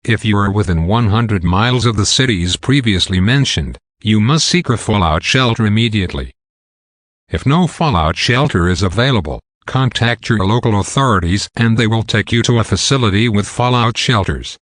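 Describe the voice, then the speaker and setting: A man's voice: on the low side, lively intonation, moderate pace, fairly bright tone, no room sound, clean studio sound. A man delivering a scripted government emergency warning in a formal, matter-of-fact announcer style.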